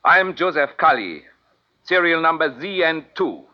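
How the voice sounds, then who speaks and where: A defiant captive soldier in a science fiction radio play. He recites stiffly and formally in a fairly high male voice, over a muffled vintage broadcast. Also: very animated, a little slow. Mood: stiff, hateful. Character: defiant enemy prisoner reciting hateful wartime propaganda. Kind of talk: 1950s radio drama acting.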